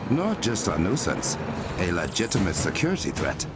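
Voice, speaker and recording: A regal superhero king with an African accent. His voice is extremely low and gravelly, formal and commanding, over clear game music. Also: wildly swooping pitch, normal speed, heavily acted. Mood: composed, authoritative. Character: regal, stern young warrior king and superhero. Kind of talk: video game voice acting.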